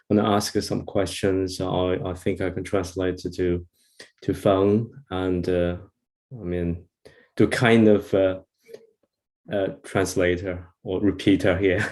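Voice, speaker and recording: Slow, halting Chinese-accented man, mellow voice. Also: low voice. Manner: halting, hesitant. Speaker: volunteer interpreter. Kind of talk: informal live interpreting.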